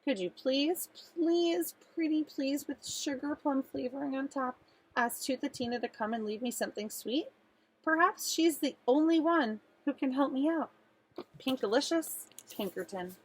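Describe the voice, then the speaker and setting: A woman voicing an earnest little girl who tells her own storybook tale in an expressive, pleading way. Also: sits high, normal speed, pitched above the performer's own voice, close to an everyday voice, low-quality recording. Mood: polite, pleading. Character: earnest little girl narrator with a sweet tooth.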